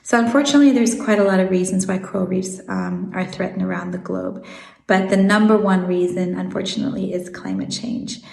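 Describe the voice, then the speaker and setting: A woman in her thirties with an American accent, a marine biologist explaining things in an interview, measured and full of "um" fillers. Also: medium pitch, animated, creaky, a little mellow, echoey room. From Swiss South African.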